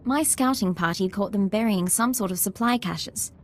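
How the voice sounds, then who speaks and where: An actress playing a brisk, composed young female military ensign with dry wit in a video game. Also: mid-range voice, lightly acted. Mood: businesslike.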